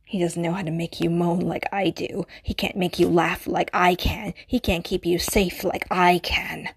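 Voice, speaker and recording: A woman's voice: lowish voice, flat delivery, normal speed, vocal fry, a little hiss or hum. A woman voicing an obsessive, possessive stalker who kills for love, speaking intimately and often in a menacing whisper in an audio roleplay.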